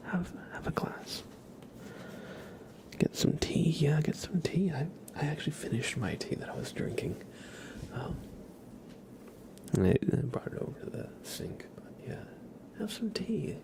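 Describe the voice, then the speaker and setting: Man, ASMR livestream host, whispered and breathy, unhurried pace, casual soft-spoken chat. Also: fairly high pitch. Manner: soft-spoken, addressing viewers by name.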